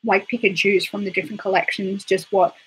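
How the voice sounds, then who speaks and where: A woman hosting a casual beauty livestream, hoarse from being sick, chatty and rambling with giggly asides. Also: mid-range voice, flat delivery, fairly quick, a bit bright, a little hiss or hum, low-quality recording. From Australia. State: hoarse, recovering from illness. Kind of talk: casual livestream chat reading viewer comments. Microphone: desktop microphone.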